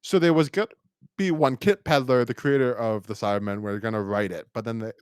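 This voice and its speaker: A man's voice: mid-range voice, fairly even intonation, fairly quick, a little mellow, clear, resonant tone, dry recording, studio quality. A man co-hosting a podcast, talking casually and in a rambling way as he reviews and acts out imagined lines. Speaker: podcast co-host.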